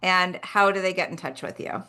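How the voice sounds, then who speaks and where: A woman hosting an interview as a business coach, talking in an animated way with quick bursts. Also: on the low side, clean tone. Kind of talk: conversational interview.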